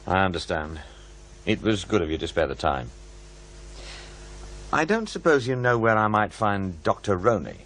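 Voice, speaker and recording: An actor in an audio drama playing a courteous, probing programme maker who asks questions a little hesitantly. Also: male voice, sits low, big pitch swings, normal speed, long pauses, a bit bright. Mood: sympathetic, probing. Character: polite, probing male programme maker seeking witnesses.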